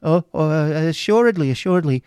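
A man's voice: fairly high pitch, animated, a little fast, darkish tone, clean tone, no room sound, studio quality, natural voice. A man voicing an anxious, obsequious and crafty moneylender held captive, with hesitant, repeated words and sighing interjections. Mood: fawning.

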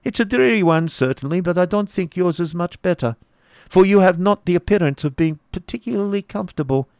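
A man's voice: brisk, a little mellow, smooth, no echo, studio quality. A man voicing a frank, blustery baron in a storybook reading, with an exclamatory, emphatic delivery. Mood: doubtful, earnest. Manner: exclamatory, emphatic, jumping up in pitch.

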